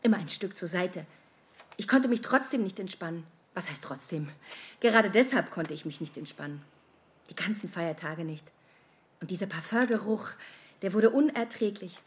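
A woman's voice: fairly low pitch, animated, normal speed, lightly acted. An actress playing an anxious, self-conscious woman who rambles and questions herself in a conversational radio play. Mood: anxious, rambling. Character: anxious, self-conscious woman fretting over how she smells. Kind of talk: modern radio play acting, conversational monologue.

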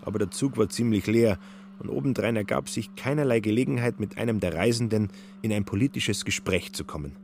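A man's voice: lowish voice, brisk, vocal fry, a little mellow, a little hiss or hum. A man narrating a literary radio play with scripted, storytelling delivery.

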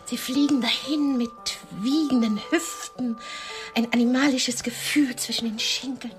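An actress playing a nervous, coy, wounded single woman who flirts politely on a date in a radio drama, measured and sometimes breathy. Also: high-pitched, fairly even intonation, over music. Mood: coy, probing.

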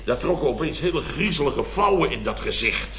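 An actor playing an exasperated, blustering boss in an old radio comedy, telling indignant tales with mimicked quotes. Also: male voice, medium pitch, very animated, brisk, a bit bright. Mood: gleefully appalled. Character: blustering, exasperated male boss of a building firm. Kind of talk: 1970s Dutch radio comedy acting. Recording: muffled old radio recording, roomy, studio audience.

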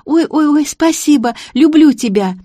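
A frightened young woman who talks like a small child, acted out by a woman narrator. The voice is high and squeaky, whiny and clingy, in a close, dry audiobook recording. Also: expressive, measured pace, mellow, dry recording. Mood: delighted, relieved. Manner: childish, pleading speech. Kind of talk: audiobook character voice.

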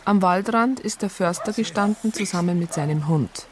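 A woman's voice: medium pitch, moderate pace, noisy background, natural voice. An actress playing an earnest, aggrieved woman who narrates events in a conversational, detailed way with a southern German accent. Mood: wry recounting. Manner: conversational, detailed, exasperated storytelling. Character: earnest, aggrieved female doctor recounting a police case. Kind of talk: first-person radio drama narration.

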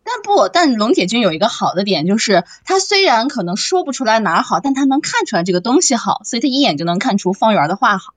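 Lively woman hosting a casual live chat. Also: a little high, quick pace, hardly any pauses, dry recording. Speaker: host of a radio drama cast chat. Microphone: online voice chat.

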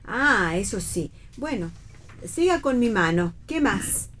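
A naive, well-meaning mother in a radio play acted by a woman. She is middle-aged and speaks formally and earnestly, at an ordinary woman's pitch. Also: very animated, slow pace, a bit bright, full character voice. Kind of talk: read theatre for radio.

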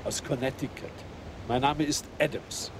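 An actor playing a chatty, friendly middle-aged American widower on holiday, in a radio play. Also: male voice, mid-range voice, unhurried, noisy background, close to an everyday voice. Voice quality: talkative, friendly, lively. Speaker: hotel guest. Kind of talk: 1980s radio play acting.

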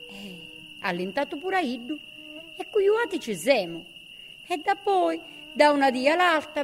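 An actress playing a woman recalling her youth, telling it slowly in a Sardinian accent from Gallura. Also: on the high side. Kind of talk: dramatized audiobook monologue in dialect. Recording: long reverb, loud music behind.

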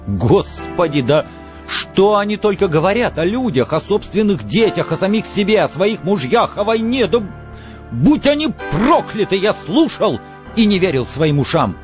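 An angry man at breaking point, performed by a man in a dramatised audiobook. He is explosive and defiant, his pitch swinging widely, with faint music behind. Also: big pitch swings, unhurried. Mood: bitter outrage.

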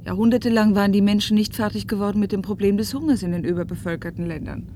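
An actress playing an earnest, tense woman fugitive in a German radio play, speaking emphatically and deliberately. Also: medium pitch, warm tone, slight background noise, close to an everyday voice.

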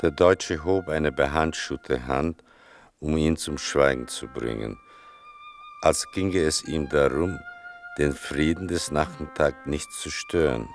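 An actor playing a grave son who narrates his mother's wartime story in a deliberate, restrained radio-play style. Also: a bass voice, faint music behind, heavily acted. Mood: sombre, measured. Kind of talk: slow, measured literary narration in a radio play.

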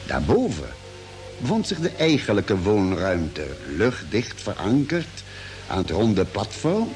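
A man acting as a space expedition member, narrating the journey in measured, deliberate old radio drama style. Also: fairly low pitch, huge pitch swings, a little mellow, soft background music, heavily acted. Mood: measured, grave.

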